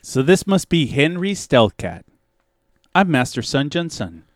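Man playing a slow, deadpan, smug martial arts master. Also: on the high side, a little hiss or hum. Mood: courteous. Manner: deliberate, deadpan. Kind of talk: comedy audio drama acting.

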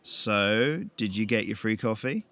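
A man's voice: lowish voice, animated, measured pace, a bit bright, dry recording. A man voicing a skeptical young man with a dry, sardonic way of talking. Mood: wry. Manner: dry and sardonic, turning urgent.

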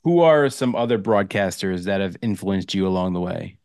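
A man with a fairly low voice works as an interviewer on a podcast. His questions are casual and conversational, and the sound is dry and close. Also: animated, normal speed, darkish tone, smooth, dry recording.

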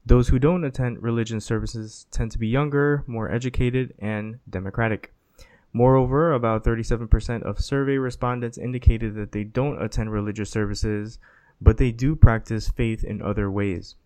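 A man reads a podcast opening in a steady, measured way. The sound is dry and close. His voice sits at a middle pitch. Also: no room sound. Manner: measured, reading from a script. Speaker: podcast host. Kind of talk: scripted podcast intro.

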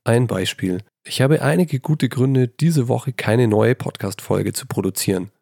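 A man hosting a solo writing podcast, slightly hoarse from a cold, talking in a chatty, self-deprecating way into a handheld mic in a small bedroom. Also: mid-range voice, measured pace, darkish tone, no echo, clean studio sound. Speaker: podcast host. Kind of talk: casual solo podcast talk. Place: old childhood bedroom at his mother's house. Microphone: handheld microphone.

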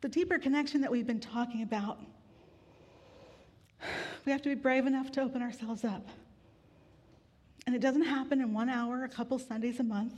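A woman pastor giving a sermon in a relaxed, conversational way with gentle humor, weary from grief. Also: fairly high pitch, normal speed, pauses often. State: grieving, tired. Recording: long hall reverb.

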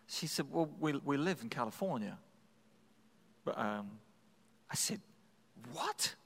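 A man's voice: medium pitch, very animated, takes it slowly, vocal fry, fairly bright tone, breathy edge, long reverb tail. A man preaching, telling a personal story in a conversational, self-deprecating way with frequent restarts. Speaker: pastor. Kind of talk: sermon storytelling.

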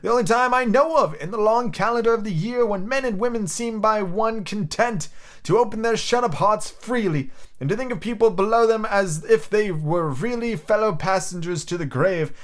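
A man's voice: high-pitched, moderate pace, fairly bright tone, pitched above the performer's own voice. A man voicing a cheerful, earnest young nephew from a storybook, eager and pleading in manner. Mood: earnest, heartfelt. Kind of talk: storybook character reading.

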